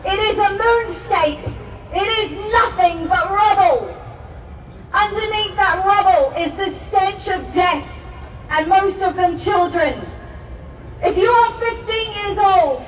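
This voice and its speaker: A woman's voice: very high-pitched, slow pace. A middle-aged woman from Palestine giving a fervent, declamatory protest speech at an outdoor rally. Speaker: rally speaker. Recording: echoey rally PA from far off with crowd noise.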